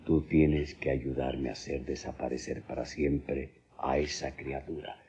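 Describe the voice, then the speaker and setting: A man playing a cold, scheming gentleman villain in an old radio soap, stern and commanding. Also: rumbling low, little pitch movement, a little slow, slightly rough, heavily acted. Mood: menacing. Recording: dull, lo-fi old radio recording.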